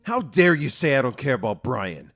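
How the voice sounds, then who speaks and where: A man playing a hot-headed, impulsive fairy guardian in a fan audio drama, arguing forcefully and emphatically. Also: medium pitch, very animated, moderate pace, faint music behind. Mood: heated, pleading.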